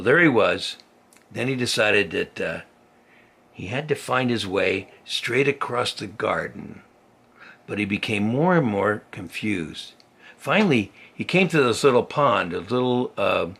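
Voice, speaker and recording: Older grandpa guy reading a kids story, kinda low and husky, chatty and folksy w little asides. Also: a bit bright. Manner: folksy, chatty, explains things simply as to a small child. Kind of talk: informal read-aloud children's storytelling with ad-libbed asides.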